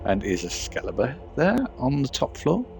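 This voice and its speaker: A man's voice: mid-range voice, wildly swooping pitch, moderate pace, creaky, over music, pitched above the performer's own voice. A man voicing an eager, naive young acolyte who asks questions in a game. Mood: eager, puzzled.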